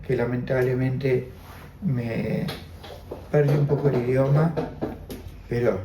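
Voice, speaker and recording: A man's voice: mid-range voice, fairly even intonation, slow pace, roomy sound, highs cut off, noisy background. A man in his seventies with an Argentine Spanish accent, Armenian as his first language, giving an oral history testimony, reflective and repeating his phrases. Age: 70s. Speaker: interviewee.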